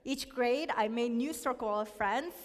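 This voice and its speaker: High-voiced woman telling her story in a hall. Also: measured pace. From South Korea. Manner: reflective, candid storytelling. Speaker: speaker sharing a personal testimony. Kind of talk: personal testimony talk.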